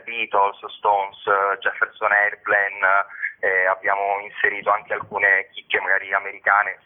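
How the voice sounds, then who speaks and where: A man giving discursive, explanatory answers as an interviewed theatre curator and actor. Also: fairly low pitch. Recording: band-limited phone line or radio sound.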